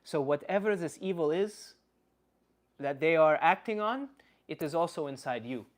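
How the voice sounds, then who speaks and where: A man playing a blunt Slavic uncle, philosophizing in halting, casual livestream talk and answering chat comments. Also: fairly high pitch, expressive, lightly acted. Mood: earnest, reflective. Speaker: livestream host.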